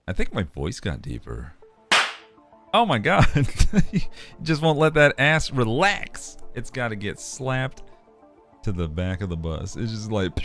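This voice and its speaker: A man's voice: lowish voice, fairly bright tone. A man chatting casually on a livestream, joking loosely and laughing often. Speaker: streamer.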